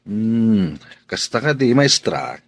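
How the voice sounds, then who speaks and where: Lowish-voiced Filipino husband, played by a man. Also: sing-song, slow pace, dry recording, exaggerated voice. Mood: casual. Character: plain-spoken, questioning husband. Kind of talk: Philippine radio drama acting.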